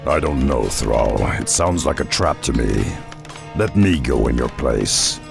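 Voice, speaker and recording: An actor playing a huge, wary beast-man warrior in a fantasy game, with a growling, gravelly voice and a blunt, stern manner. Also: very deep voice, expressive, unhurried, heavily acted. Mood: suspicious, firm. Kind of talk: fantasy game character acting. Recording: music under the voice.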